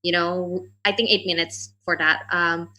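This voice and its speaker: Bright woman moderating an online Q&A. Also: lowish voice, a little slow. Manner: relaxed, loose phrasing with fillers. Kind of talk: online Q&A hosting.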